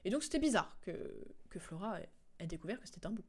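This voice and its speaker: Chatty woman streamer, mid-pitched voice. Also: sing-song, background noise. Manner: chatty, informal, halting as she recalls. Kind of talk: casual game stream commentary, recapping story.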